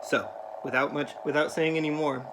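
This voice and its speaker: A man speaking to children in an earnest, careful, deliberate way, as in a read-aloud. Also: fairly high pitch, fairly even intonation, vocal fry, background noise. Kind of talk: read-aloud of a children's picture book with spoken introduction.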